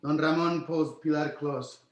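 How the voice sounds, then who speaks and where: Casting: male narrator for screenplay directions, slightly high voice, roomy echoing sound. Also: steady tone, unhurried. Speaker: narrator reading stage directions. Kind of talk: screenplay table read narration, present tense.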